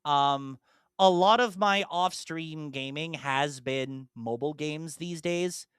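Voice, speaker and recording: A man talks through a casual podcast chat, voice bright and smooth. He rambles with plenty of ums and restarts and slips into animated, mock-fed-up asides. It sounds dry and close, with no room behind it. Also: fairly high pitch, slow pace, no room sound. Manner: rambling, many fillers and restarts. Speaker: podcast co-host and streamer.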